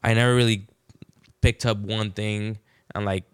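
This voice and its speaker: A man's voice: on the low side, steady tone, normal speed, clean tone, no room sound, clean studio sound. A man in his twenties from Venezuela, a podcast guest chatting in a slangy, rambling way. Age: 20s. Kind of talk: casual chat.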